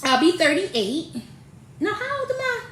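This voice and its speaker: A woman in her late thirties talks in an animated, slangy way. She laughs often and sits high in her range. The room around her rings with echo. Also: unhurried, a little hiss or hum. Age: 30s. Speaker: podcast co-host, mother. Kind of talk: casual chat.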